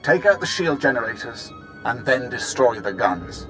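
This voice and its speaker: Brusque, commanding Imperial officer. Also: male voice, mid-range voice, big pitch swings, normal speed, sharp tone, soft background music. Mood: urgent, commanding. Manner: clipped, authoritative, disdainful. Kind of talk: video game cutscene acting.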